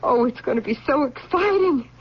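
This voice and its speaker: A woman's voice: high voice, animated, normal speed. A woman playing an ambitious, gushing, persuasive general's wife in old-time radio drama style. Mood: excited, gushing. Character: ambitious, excitable general's wife, socially scheming. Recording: dull old radio recording.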